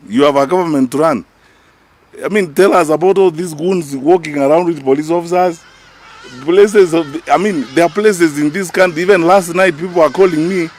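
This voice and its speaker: A man's voice: on the high side, a little mellow, no echo. Kenyan man, indignant political speaker. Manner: emphatic, indignant, rhetorical questioning. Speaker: political party official speaking to the press. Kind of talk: press conference statement, spoken off the cuff.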